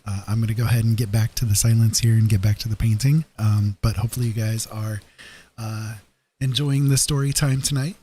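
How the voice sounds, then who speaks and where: A man casually chatting on his livestream, rambling and friendly with frequent fillers, his voice a bit hoarse. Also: fairly low pitch, a little fast. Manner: relaxed, rambling, lots of ums and uhs. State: slightly hoarse. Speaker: streamer and storyteller.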